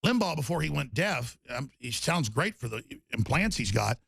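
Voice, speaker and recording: Raspy talk radio guy, super gravelly and husky, ranting and rambling. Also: big pitch swings, normal speed, dry recording. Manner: rambling, emphatic, swings between loud bursts and low mutters. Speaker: radio talk show host. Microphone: studio microphone.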